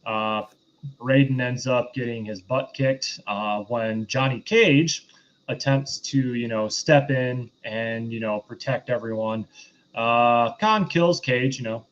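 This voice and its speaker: A man's voice: mid-range voice, takes it slowly. Male podcast host, mid-range voice, muffled lo-fi mic, hesitant, casual movie review. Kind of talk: casual film review discussion.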